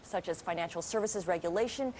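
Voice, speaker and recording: A woman's voice: on the low side, fairly quick, a little mellow, a little hiss or hum, low-quality recording. A woman reporter delivering scripted news narration. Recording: music over news clip. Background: music.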